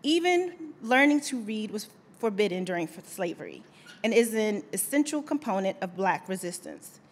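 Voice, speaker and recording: A woman's voice: medium pitch, big pitch swings, normal speed. A middle-aged woman reading a formal introduction at a podium in an emphatic, declamatory way. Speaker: introducer, university alumna. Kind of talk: formal scripted introduction speech. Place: auditorium stage, indoors. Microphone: podium gooseneck microphone.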